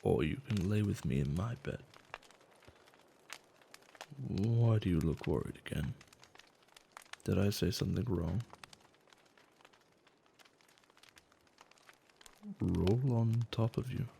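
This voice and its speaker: A man acting a gentle, caring giant in a soft-spoken, soothing and affectionate ASMR roleplay, with slightly formal phrasing. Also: a little slow, long pauses, slight background noise. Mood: reassuring, curious. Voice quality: low, soft, gravelly. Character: gentle male giant, tender, curious and caring toward humans.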